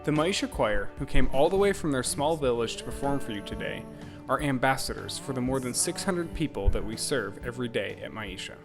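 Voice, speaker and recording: A man's voice: mid-range voice, creaky. Man narrating a charity video over guitar. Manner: measured, steady delivery. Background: guitar music.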